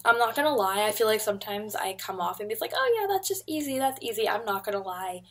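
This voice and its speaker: Young woman, husky voice, mid pitch, rambling casual chat, webcam vlog, bedroom. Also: fast talker. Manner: chatty, rambling, animated hand gestures. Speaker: vlogger. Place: bedroom, indoors. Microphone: webcam at desk.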